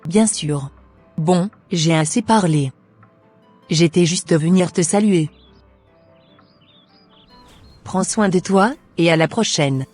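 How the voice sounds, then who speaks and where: A woman's voice: lowish voice, slow, a little mellow, husky, faint music behind. A woman voicing a trusting, kindly young wife in a scripted cartoon, polite and earnest. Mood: friendly.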